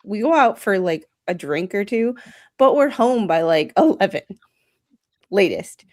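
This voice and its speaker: A woman's voice: medium pitch, expressive, measured pace, darkish tone. Chatty woman in her forties. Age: 40s. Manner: chatty, laughs often, self-deprecating. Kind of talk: casual chat.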